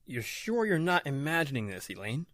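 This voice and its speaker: Man acting skeptical brother, animated, dry sound. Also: fairly high pitch, normal speed, no echo. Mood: skeptical concern. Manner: calming, questioning. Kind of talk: old-time radio horror acting.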